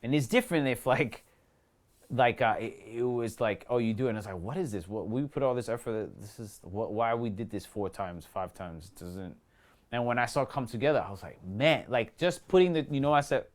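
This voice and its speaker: A man's voice: lowish voice, animated, a little fast. A man with a New York accent chatting casually as an actor being interviewed, slangy and repetitive, often acting out remarks as he goes. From New York City. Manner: slangy, repeats himself, acts out quoted remarks.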